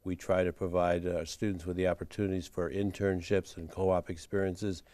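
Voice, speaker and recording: A middle-aged man answering interview questions as a college administrator, in a measured, formal way with frequent fillers and restarts. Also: deep voice, flat delivery, warm tone.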